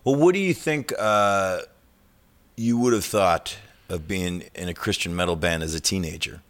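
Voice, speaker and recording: A man in his early fifties with a fairly low voice. He works as the interviewer, putting questions in a loose, conversational way. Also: moderate pace. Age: early 50s.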